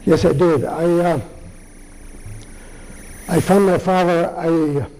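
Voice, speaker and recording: Older man, halting speech, mellow tone, fairly high voice. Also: steady tone, a little hiss or hum, rough recording. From Lithuania. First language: Yiddish. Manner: halting, many pauses and restarts. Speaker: Holocaust survivor speaker. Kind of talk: answering audience questions.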